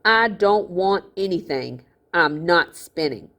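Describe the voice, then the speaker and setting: A woman as a wary, stubborn boy, slow-spoken. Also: fairly low pitch, animated, a bit bright, exaggerated voice. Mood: defiant.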